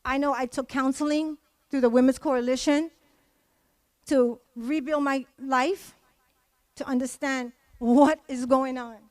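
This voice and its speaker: Lady w a high voice, speaking to a community event, emphatic, repeats herself to make a point, dry sound. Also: steady tone, measured pace, no room sound. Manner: emphatic, repeats phrases for stress. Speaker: community member giving testimony. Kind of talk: impassioned public speech at a memorial event.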